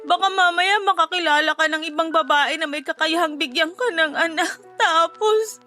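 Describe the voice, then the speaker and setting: Tearful wife with a very high, bright voice. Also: female voice, moderate pace, soft background music. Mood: anxious, tearful. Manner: pleading, emotional. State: crying. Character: tearful, anxious wife longing desperately for a child. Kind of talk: radio drama acting.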